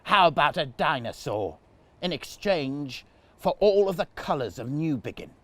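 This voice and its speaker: A man's voice: fairly high pitch, expressive, normal speed, a bit bright. A man voicing a sneaky, grumpy, slimy demon in a children's story. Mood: bargaining.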